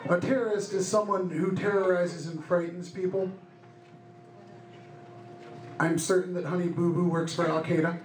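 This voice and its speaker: High-pitched deadpan man in an echoey club. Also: moderate pace, pauses often. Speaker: stand-up comedian. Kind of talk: stand-up comedy, short one-liners. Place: small comedy club stage, indoors. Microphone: handheld stage microphone. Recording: echoey club room with loud noise. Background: loud noise, audience laughter.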